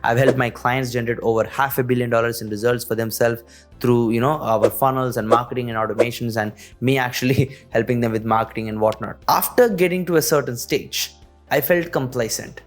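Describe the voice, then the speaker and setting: A man's voice: mid-range voice, fairly even intonation, brisk, faint music behind. A young man from India with an Indian accent, talking earnestly about his business, dropping lots of "you know" and "actually". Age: young adult. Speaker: business owner. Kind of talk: direct-to-camera business talk.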